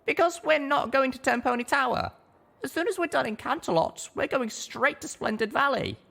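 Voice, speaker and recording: A girlish young pony mare, acted out by a male narrator, pitched well up. She is an earnest, firm-minded storybook heroine with a lively delivery. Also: normal speed, creaky, heavily acted. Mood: firm, insistent. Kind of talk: audiobook character acting.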